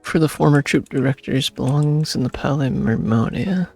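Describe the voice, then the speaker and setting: Husky, creaky man narrating gameplay. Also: medium pitch, moderate pace, darkish tone, no room sound. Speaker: game streamer. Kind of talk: narration and commentary over gameplay. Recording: faint game music behind.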